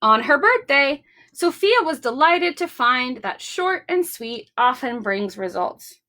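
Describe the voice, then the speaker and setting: High, bright woman reading to children. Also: slow pace. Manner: expressive, simple instructions to young children. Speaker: library storytime host. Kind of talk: children's picture-book read-aloud.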